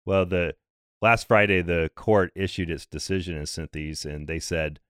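Deep, slightly hoarse male podcast host. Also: fairly even intonation, fairly bright tone, dry recording. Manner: brisk, conversational, light dry humor. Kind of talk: scripted podcast explainer.

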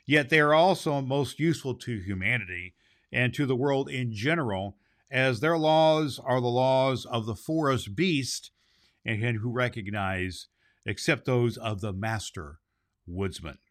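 A man's voice: mid-range voice, measured pace. A man hosting a storybook reading, narrating expressively and stumbling slightly over some words. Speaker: storybook reader and podcast host. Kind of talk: storybook narration read aloud.

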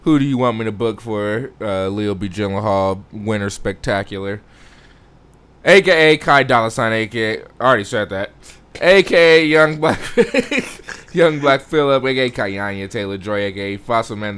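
A man co-hosting a movie podcast, joking playfully, his voice cracking and going hoarse. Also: lowish voice, moderate pace, fairly bright tone. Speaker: podcast co-host. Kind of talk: casual movie chat.

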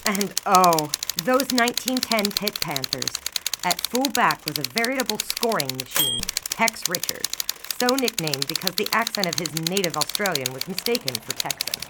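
A woman's voice: fairly low pitch, sing-song, moderate pace, heavy background noise. A woman playing an earnest young daughter who tells football history in a florid, dramatic way in an audio drama. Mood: rapt, nostalgic.

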